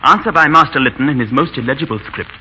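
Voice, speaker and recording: An actor playing a shy, formal English schoolmaster husband with hesitant speech and dry humour, in 1930s radio drama style. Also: male voice, mid-range voice, fast, bright, forceful tone. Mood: dry lecturing. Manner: hesitant, formal, dryly humorous. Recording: dull, noisy 1930s radio recording.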